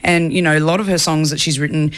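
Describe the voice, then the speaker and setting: A woman's voice: sits low, steady tone, fast. A young woman from country Australia, a singer answering interview questions in a chatty way, full of fillers. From rural Australia. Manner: chatty, many fillers and restarts.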